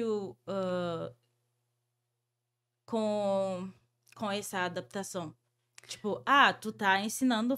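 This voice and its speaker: A woman's voice: mid-range voice, a bit bright. A woman whose first language is French, hosting a podcast interview and talking in a hesitant way, with many fillers and restarts. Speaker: podcast host. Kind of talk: conversational interview.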